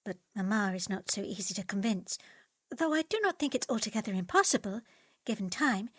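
An eager young woman pours her heart into a letter, read aloud by a woman. She sounds earnest and affectionate, at a middle pitch. The recording is dry and close. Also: big pitch swings, a little fast, fairly bright tone, no echo.

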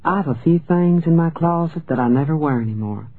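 Guy playing a southern atlanta lady in a storybook, slow genteel drawl, polite but cool. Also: fairly high pitch, animated, breathy edge, phone-quality sound, voice pushed up. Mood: gracious, cool. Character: gracious, slow-talking Southern lady, coolly condescending.

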